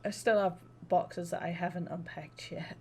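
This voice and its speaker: Slightly low-voiced woman streamer, faint rumble. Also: steady tone, moderate pace, mellow. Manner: informal, rambling, sweary. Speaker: live streamer. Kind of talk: casual chat over game livestream.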